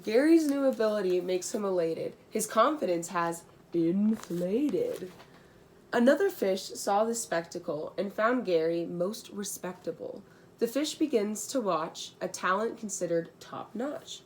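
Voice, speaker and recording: Slow-paced young woman reading a storybook. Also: medium pitch, animated, a little mellow, a little hiss or hum, rough recording. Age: young adult. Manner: informal, giggly asides, slangy. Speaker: storyteller reading an original children's book. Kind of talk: rhyming storybook read-aloud with casual joking asides.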